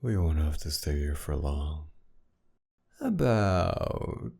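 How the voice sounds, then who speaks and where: A man playing a mocking, menacing masked villain kidnapper in an intimate ASMR roleplay, with a gravelly, breathy murmur that often sinks toward a whisper. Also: very deep voice, sing-song, a little mellow, heavily acted. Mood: sardonic, teasing. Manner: taunting, commanding, slow and teasing.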